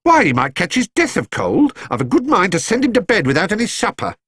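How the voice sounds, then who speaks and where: A fussy, bustling housekeeper lady scolding with high lifting anxiety, as a male storyteller puts her on. Her lines leap up high and swing widely. The voice is a touch husky and dry. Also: huge pitch swings, brisk, a bit bright, no room sound, exaggerated voice. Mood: fretting. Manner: bustling, anxious. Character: fussy middle-aged British housekeeper woman.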